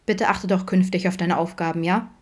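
A woman's voice: lowish voice, fairly even intonation, a little fast, close to an everyday voice. A woman voicing a bossy male colleague in an audiobook, patronizing and reproachful.

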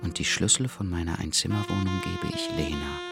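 A man's voice: low voice, animated, fairly quick, creaky, loud music behind the voice. An actor playing a brooding, weary husband and father in a radio drama, speaking in a subdued, introspective way. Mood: wry resignation. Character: Tolja, brooding weary husband and father, low-voiced narrator. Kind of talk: radio drama inner monologue and dialogue.

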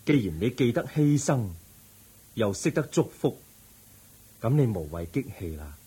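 A compassionate Buddha from a Cantonese radio play, speaking Hong Kong Cantonese. The voice is very husky and mellow, with lively rises and falls in a solemn, measured sermon. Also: male voice, medium pitch, exaggerated voice. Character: calm, compassionate male Buddha counselling an aggrieved believer.

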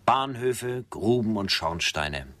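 A self-assured railway builder in a radio play. His voice is fairly low with a bright, hard edge, recorded very dry. He speaks in a measured, coolly confident way. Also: animated, dry recording, exaggerated voice. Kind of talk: radio drama acting.

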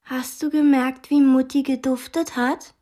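A woman's voice: high-pitched, level tone, unhurried, background noise. A woman in a German radio drama playing a knowing, sardonic young girl with a pointed, teasing manner.

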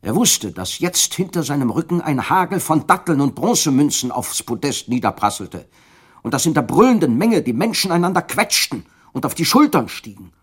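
A man's voice: fairly high pitch, very animated, normal speed, breathy edge. A man narrating a radio drama in a dramatic, vivid storytelling manner.

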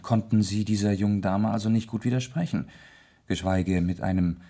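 An actor playing a courteous, inquisitive gentleman lord in a radio play, speaking in a measured, probing way. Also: fairly low pitch, fairly even intonation.

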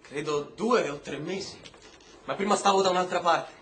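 A man's voice: high-pitched, moderate pace, fairly bright tone. A man acting a weary, streetwise prisoner in a radio drama, talking in a sardonic, conspiratorial way. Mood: wary, curious. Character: weary, streetwise British-Pakistani male prisoner, wryly curious. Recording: roomy echo with faint noise.

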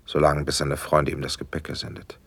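Gracious prince in old verse radio play, super deep husky voice, formal and deliberate. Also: male voice, completely flat delivery, fairly bright tone, no room sound, exaggerated voice. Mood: composed, instructing. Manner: formal, stately, deliberate declamation. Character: gracious, measured prince, patron of a poet. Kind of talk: classic German verse radio drama acting.

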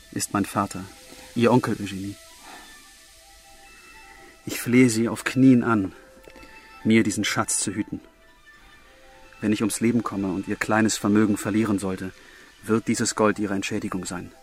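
An actor playing a gallant, devoted young gentleman in a radio drama, speaking gently and softly with fervent earnestness. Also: on the low side, flat delivery, unhurried, long pauses, faint music behind, close to an everyday voice. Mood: solemn, pleading. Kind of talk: German radio drama acting.

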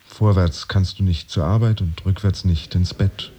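An actor playing a brooding jobless man in an introspective radio drama monologue, measured and dry, often sinking towards a whisper. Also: deep voice, steady tone, noisy background. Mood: anxious, wry. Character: brooding man who lost his job, pretending to work.

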